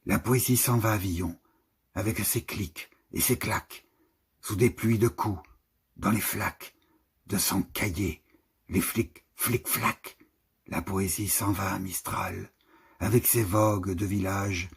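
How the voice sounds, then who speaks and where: Slow, husky man reciting poetry. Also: low voice. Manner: deliberate phrasing in short measured fragments with pauses. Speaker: poetry reader.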